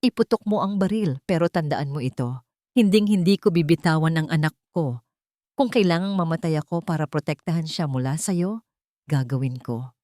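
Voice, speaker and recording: A woman voicing a protective, resolute father in an audio drama, speaking in a calm, earnest way. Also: deep voice, normal speed, dry recording, clean studio sound, lightly acted. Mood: calm, defiant.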